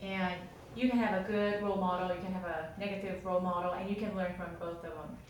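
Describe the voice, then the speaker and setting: A woman's voice: on the low side, steady tone, fast, slight background noise. A woman lecturer leading a classroom discussion, encouraging students and asking follow-up questions. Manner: encouraging, asks follow-up questions, laughs lightly. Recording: echoey classroom from far off.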